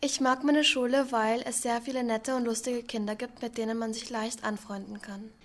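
Flat-toned schoolgirl speaking carefully. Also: on the high side, moderate pace, slight background noise. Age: young teen.

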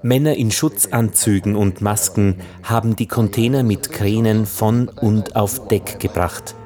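An actor playing a grave ship's chronicler, reading log entries soberly and factually in a radio play. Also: male voice, fairly low pitch, unhurried, warm tone, close to an everyday voice. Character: grave male chronicler of a ship in lockdown.

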